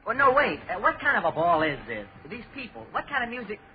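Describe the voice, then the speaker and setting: An actor playing a cocky, eager young American tourist in old-time radio drama, slangy and wisecracking. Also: male voice, very high voice, big pitch swings, fairly quick, heavily acted. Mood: stubborn, uneasy. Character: brash, eager young American male tourist hunting for fun. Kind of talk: 1930s-40s radio drama acting. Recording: dull vintage radio recording, crowd behind.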